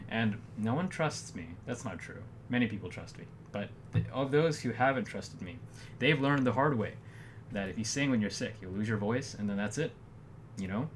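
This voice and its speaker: A man telling a rambling story to his viewers in a casual vlog, correcting himself often and slipping in wry deadpan jokes. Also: fairly low pitch, moderate pace. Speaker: vlogger. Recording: noticeable background noise.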